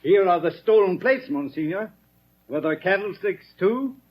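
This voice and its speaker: High-pitched, officious policeman. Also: expressive, normal speed. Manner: officious, commanding. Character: brusque, barking police officer hauling in a prisoner. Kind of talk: 1930s radio drama acting. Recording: muffled vintage 1930s radio recording.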